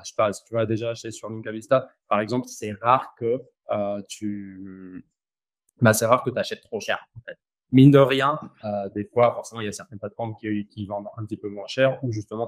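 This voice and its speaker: A man with an Argentine accent, talking casually as an interview guest, relaxed and slangy, with frequent fillers and restarts. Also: lowish voice, normal speed, no echo. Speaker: guest, company founder.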